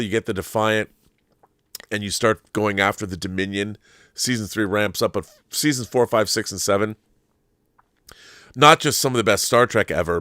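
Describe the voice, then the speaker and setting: Male pop culture host, middle-aged, lowish voice, emphatic off-the-cuff monologue, close dry recording. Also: fairly bright tone, dry recording. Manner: emphatic, repeats words for emphasis, rambling. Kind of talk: casual opinionated monologue answering viewer questions.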